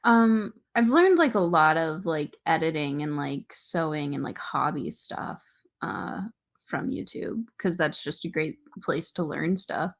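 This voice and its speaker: A young woman co-hosting a podcast in casual chat, giggly and animated, full of "like" and "um". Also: sits low, a little slow. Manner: giggly, filler-heavy, animated. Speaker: podcast co-host.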